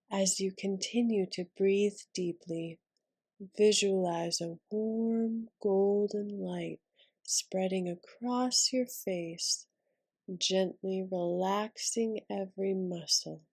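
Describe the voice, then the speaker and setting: A woman leading a guided meditation in a soothing, breathy, whispery voice, leaving long pauses between gentle instructions. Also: medium pitch.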